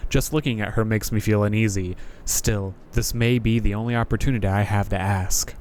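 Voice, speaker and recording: A man's voice: on the low side, fairly even intonation, fairly quick, a little mellow, slight background noise. A man hosting a gaming video, reading narration aloud and commenting in a casual, jokey, informal way.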